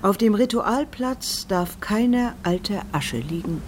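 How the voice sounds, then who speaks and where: A grave, measured teacher of ancient rites speaks slowly and deliberately. A faint rumble lies behind the voice. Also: animated. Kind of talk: solemn ritual explanation in radio drama.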